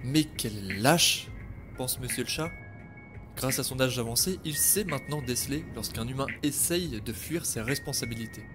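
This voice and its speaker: A man tells a scripted podcast story in a wry voice pitched in the middle range. Music plays clearly behind him. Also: animated, normal speed. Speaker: narrator.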